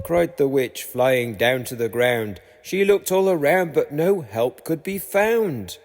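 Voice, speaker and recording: A male storyteller reads rhyming verse for children. His delivery is unhurried and dramatic, with lively swings in pitch, and a long hall reverb trails each phrase. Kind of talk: expressive read-aloud of rhyming children's story.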